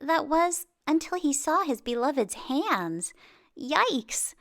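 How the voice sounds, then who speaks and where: A woman reads a children's storybook aloud with lots of expression. Her pitch swings widely and she takes her time, pausing for drama and leaning into exclamations. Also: sing-song, fairly bright tone. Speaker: picture book read-aloud reader.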